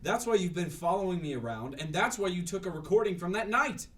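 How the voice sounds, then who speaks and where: Radio drama interrogator male actor: insistent, accusatory, high-pitched, lively intonation. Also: a little fast. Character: insistent, accusing man pressing for the truth. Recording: slightly distant from the mic.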